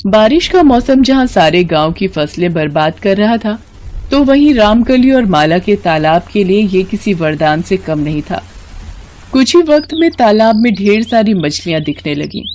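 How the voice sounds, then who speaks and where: Female story narrator, scripted storybook delivery, medium pitch, faint noise in the background. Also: darkish tone.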